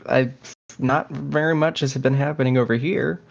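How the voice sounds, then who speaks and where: A man in his twenties co-hosting a casual podcast, talking in a low-key, somewhat hesitant way. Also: steady tone, moderate pace, vocal fry. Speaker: podcast co-host. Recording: dull, lo-fi audio.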